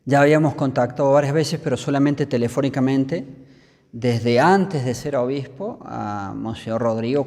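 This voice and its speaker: Male interviewee, fifties, Argentine priest. Soft-edged, mellow tone, measured and pausing delivery, reverberant room. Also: medium pitch. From Córdoba, Argentina. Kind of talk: conversational storytelling in an interview. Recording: long reverberant hall.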